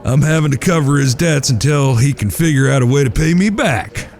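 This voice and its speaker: A man's voice: mid-range voice, a little fast, vocal fry, a little mellow, close to an everyday voice. An actor playing a wry eldest cowboy brother in a Western audio drama, folksy and mock-formal in his talk. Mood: wry, teasing.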